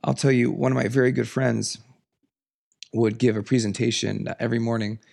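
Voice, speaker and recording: A man hosting a solo podcast, raspy from a cold, talking in an earnest, emphatic, passionate way. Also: level tone, normal speed, a little mellow. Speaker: podcast host. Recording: lower audio quality.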